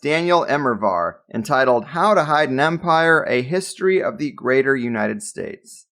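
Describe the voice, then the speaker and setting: Gravelly-voiced man hyping a guest. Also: a little high, a bit bright. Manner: emphatic, hype-style introductions. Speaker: podcast host. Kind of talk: scripted intro then casual interview.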